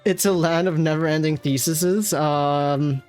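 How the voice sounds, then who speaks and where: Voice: mid-range voice, fairly even intonation, slow, a little mellow, faint music behind. A game streamer giving casual live commentary, with chatty asides to viewers and to the characters on screen.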